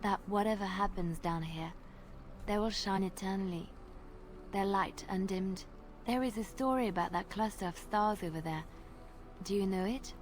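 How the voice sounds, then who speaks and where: A gentle young bard telling old legends in a video game, voiced by a woman. Her voice is soft and measured, at an ordinary middle pitch for a woman, and she sounds earnest. A faint ambient hum sits under the game audio. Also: natural voice. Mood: storytelling. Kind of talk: storytelling in video game dialogue.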